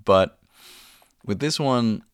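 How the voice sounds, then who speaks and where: A man hosting a relaxed, conversational interview, recorded on a close mic in a small closet space. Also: medium pitch, slow pace, smooth, no echo. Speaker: podcast host and audio performer. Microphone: close studio-style mic in a closet.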